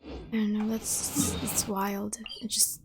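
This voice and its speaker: A woman streamer giving casual, gushing gameplay commentary, emotional and close to tears, halting and repeating her words. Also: mid-range voice, level tone, gravelly, in a whisper. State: emotional, tearful. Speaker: streamer reacting to a game story. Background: game music.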